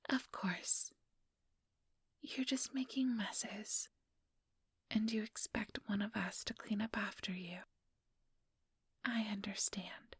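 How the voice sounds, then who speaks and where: A woman playing an ambitious vampire elder in a whispered ASMR roleplay, breathy, deliberate and patronizing, with cool menace. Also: mid-range voice, dry recording, clean studio sound, heavily acted. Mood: cold, scornful.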